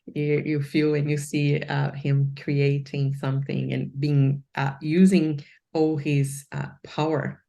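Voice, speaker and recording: Slow, low-voiced woman mentor, meandering. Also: flat delivery, gravelly, mellow. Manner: meandering questions with many fillers and restarts. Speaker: career mentor and host. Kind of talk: interview questions.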